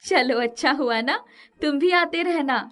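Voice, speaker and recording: A woman voicing a generous, affectionate older village wife in an animated moral story, motherly and emphatic. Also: high voice, a little slow.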